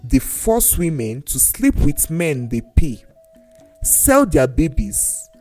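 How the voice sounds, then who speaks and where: A storyteller narrating a scripted folktale in a deliberate, dramatic way, with pauses between phrases. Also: medium pitch, very animated, dark tone. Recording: voice over faint music. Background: faint background music.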